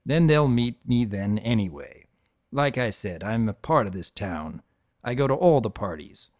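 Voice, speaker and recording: A man's voice: on the low side, animated, measured pace, darkish tone, no echo, clean studio sound. A man playing an easygoing, avuncular adult dragon who tells his own story with wry, conversational asides. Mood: firm, reassuring. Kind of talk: first-person dramatic reading of fan fiction.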